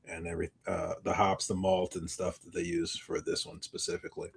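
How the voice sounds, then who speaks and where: A man with a fairly low voice chats as co-host of a live podcast. His delivery is informal and conversational, and he tends to ramble, backing up to correct his wording as he explains things. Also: little pitch movement, brisk, vocal fry, a little hiss or hum. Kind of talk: casual live podcast chat.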